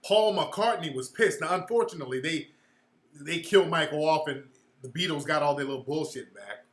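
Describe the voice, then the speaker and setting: Male commentator, high bright voice, emphatic and profane, echoey room. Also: expressive, normal speed. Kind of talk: casual commentary.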